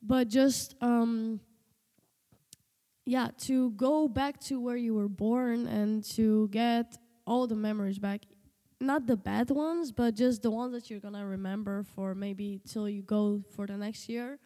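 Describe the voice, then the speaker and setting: A woman's voice: on the high side, fairly even intonation, measured pace, warm tone, long reverb tail. A teenage girl from Slovenia, a native Slovenian speaker, chatting casually and hesitantly in an interview with many fillers and restarts. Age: teens. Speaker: guest, host's daughter.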